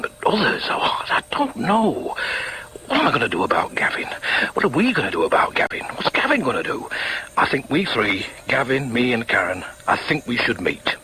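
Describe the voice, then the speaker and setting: An actor playing a blunt, troubled middle-aged Northern English father in a radio drama, halting and fumbling as he questions himself. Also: medium pitch, sharp tone, a little hiss or hum, poor audio. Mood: doubting, agonised. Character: agonised, blunt Northern working man, estranged biological father.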